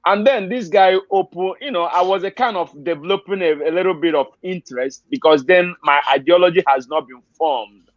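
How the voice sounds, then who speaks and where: A Nigerian man tells his own account as an interview guest. His voice sits a little high, and he explains things step by step with firm emphasis. The recording is dry and slightly dull, with little room sound. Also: a little fast, no echo. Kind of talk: unscripted personal account.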